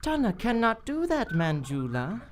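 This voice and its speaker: A woman playing a sly, treacherous male jungle guide in an adventure radio play, with an oily, measured delivery. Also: on the high side, big pitch swings. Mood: gloating, sinister. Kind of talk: old-time adventure radio acting. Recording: live stage recording, some background noise.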